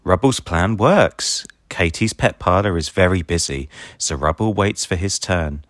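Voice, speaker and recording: A man reading a children's story aloud in an expressive way, acting out the dialogue. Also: low voice, measured pace, dry recording, clean studio sound. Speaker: storybook reader. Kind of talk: scripted children's story narration read aloud.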